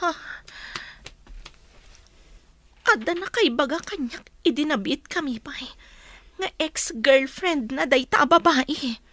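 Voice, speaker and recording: High-pitched aggrieved wife. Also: female voice, normal speed, a bit bright, dry recording. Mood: confiding, troubled. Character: wronged, aggrieved wife leaving her husband. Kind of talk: radio drama acting in Ilocano.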